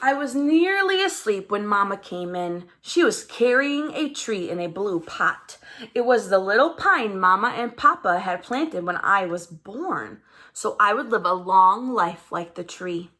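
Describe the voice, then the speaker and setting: A woman reading a children's story aloud in an expressive, dramatized way. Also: medium pitch, measured pace. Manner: animated, dramatized delivery with character voices. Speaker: storybook reader.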